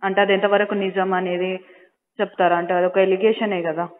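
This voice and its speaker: A reporter politely and haltingly asking for comment over a phone line, repeating words. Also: medium pitch, little pitch movement. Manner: deferential, stammering repeats. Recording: tinny recorded phone line.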